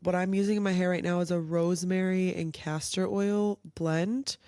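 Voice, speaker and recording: A young woman hosting a casual solo podcast, chatty and rambling, her voice a little nasal and raspy from a cold. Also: on the low side, steady tone, normal speed, dark tone, no echo, studio quality. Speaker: podcast host.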